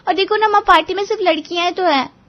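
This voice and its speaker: Casting: woman as whiny young daughter for moral-story drama, very high voice, dull lo-fi audio. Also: fast talker. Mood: coaxing. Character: young daughter of the house, pleading and aggrieved.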